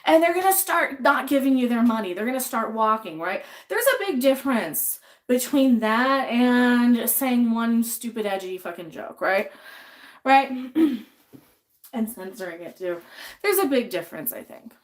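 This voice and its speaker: A young adult woman chats on a livestream as she cooks, her voice a touch higher than most. She talks bluntly, swears freely and rambles through restarts, and she sounds a little sniffly. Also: lively intonation, a little slow. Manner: reads chat names aloud and answers them. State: a bit sniffly. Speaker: livestream host. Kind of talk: casual livestream chat while cooking.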